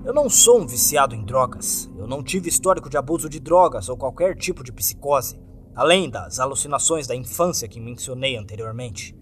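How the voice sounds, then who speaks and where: A man's voice: mid-range voice, expressive, fairly quick. A man with a Brazilian accent narrating a scripted first-person horror story in a dramatic, suspenseful way. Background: background music.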